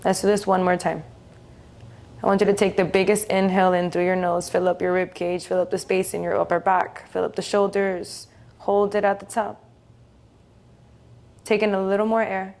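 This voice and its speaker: A woman leading a guided meditation in a soothing, gentle, unhurried way, leaving long pauses between phrases. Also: medium pitch, little pitch movement, vocal fry.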